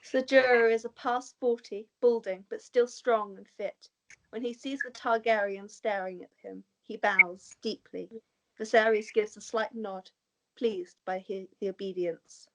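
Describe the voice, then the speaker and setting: A woman's voice: mid-range voice, fairly even intonation, measured pace, fairly bright tone, slight background noise, poor audio. A woman with a mid-range voice reads out stage directions. It is scripted narration for an amateur table read of a drama.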